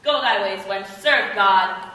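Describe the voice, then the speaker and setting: A woman's voice: medium pitch, takes it slowly, sharp tone. A woman acting a garrulous, weary, teasing old nurse in a Shakespeare play, theatrical and complaining. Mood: teasing, rambling. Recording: echoey hall, distant mic, faint noise.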